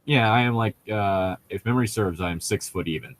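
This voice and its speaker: A man's voice: lowish voice, a little fast, no room sound. A young man in casual, joking, profane chat as a co-host reading a book aloud with commentary. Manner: profane, bantering.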